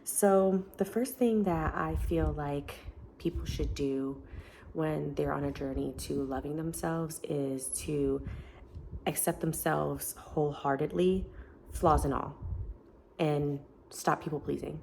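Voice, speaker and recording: A woman with a deep voice talking casually to camera in an earnest, encouraging way, with many fillers. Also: steady tone, slow, gravelly, dark tone, slight background noise. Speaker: self-help vlogger. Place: family home, indoors.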